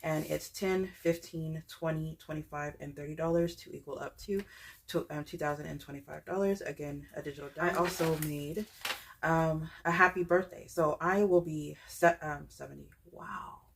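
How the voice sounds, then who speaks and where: A woman talks casually about her budgeting products. She rambles, restarts often and leans on fillers. Her voice sits low, with a gravelly fry. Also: steady tone, moderate pace. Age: 30s. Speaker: budgeting channel creator. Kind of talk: casual chat showing products.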